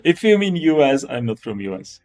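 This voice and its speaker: A man's voice: mid-range voice, sing-song, brisk, a little mellow. A man with a Czech accent chatting casually as a live-stream host, often restarting and repeating his phrases. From Czech Republic.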